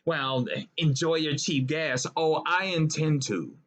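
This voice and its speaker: A man's voice: on the high side, slow pace, a bit bright. A man, ranting casually to his viewers in a blunt, profane way with rambling asides. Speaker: vlogger. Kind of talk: casual political rant to camera.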